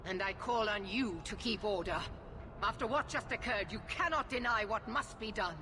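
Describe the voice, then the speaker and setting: A woman's voice: mid-range voice, normal speed, background noise, lightly acted. Severe, commanding female knight commander played by an actress. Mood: cold, commanding. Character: stern, zealous middle-aged female knight commander. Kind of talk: dramatic fantasy game acting.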